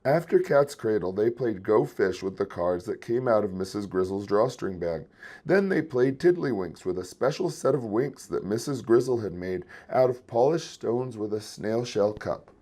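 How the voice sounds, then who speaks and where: A man reading a children's story aloud in an expressive storytelling style. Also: fairly low pitch, moderate pace. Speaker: children's book reader.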